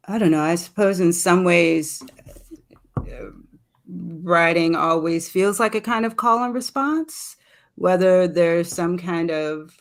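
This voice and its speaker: A woman with a slightly low voice. She talks as an interview guest in an unscripted way, feeling her way reflectively through each idea with tentative phrasing. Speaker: poet and professor, guest. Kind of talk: casual, unscripted interview answer.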